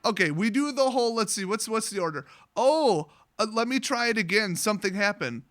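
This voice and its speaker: A man's voice: high voice, expressive, brisk, fairly bright tone, no echo. A man telling a casual, rambling story as a vlogger, sweary, sarcastic and blunt. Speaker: online vlogger telling a story, card seller.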